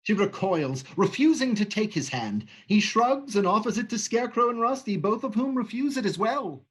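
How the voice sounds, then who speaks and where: Man reading stage directions, higher voice. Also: animated, moderate pace, a bit bright, husky. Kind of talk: script reading.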